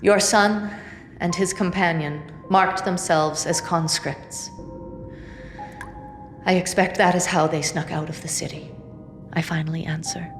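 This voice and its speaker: An actress playing a grim, haunted seer who reads the dead, narrating in the first person with hushed, deliberate intensity. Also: female voice, lowish voice, fairly even intonation, music in the background. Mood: tense, controlled. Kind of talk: first-person dramatic narration in audio drama.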